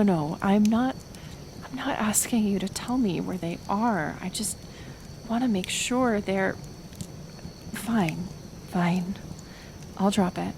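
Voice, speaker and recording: A woman playing a kind, stubborn forest healer in an ASMR roleplay, speaking gently and hesitantly, caring but persistent. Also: medium pitch, vocal fry, slight background noise. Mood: flustered, insistent, then worried. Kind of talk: soft-spoken ASMR roleplay acting.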